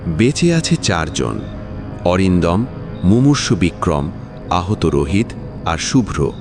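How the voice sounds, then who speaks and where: A male narrator with a deep voice delivers dramatic suspense storytelling. His intonation is very lively and varied. Loud music plays behind him. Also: takes it slowly.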